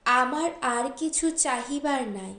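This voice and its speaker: Woman acting a slow, pained, remorseful husband. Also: sits high, expressive, sharp tone, heavily acted. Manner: pained, subdued. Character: troubled, remorseful husband, subdued and terse. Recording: roomy echo.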